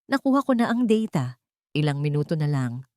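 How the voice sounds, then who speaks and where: A woman's voice: deep voice, brisk, dry recording. Female narrator as secretive infiltrator, bold but controlled, Filipino accent, audiobook dialogue, dry recording. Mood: hushed, confident. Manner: controlled, pretending calm. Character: bold, secretive infiltrator named Ron, keeping calm. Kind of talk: dramatic audiobook character dialogue.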